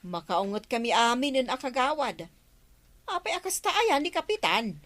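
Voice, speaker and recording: A woman's voice: fairly high pitch, sing-song, moderate pace, fairly bright tone, dry recording. A woman with an Ilocano accent playing a grumbling, gossipy village official in a radio comedy drama. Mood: irritated. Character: grumbling female village official fed up with her captain.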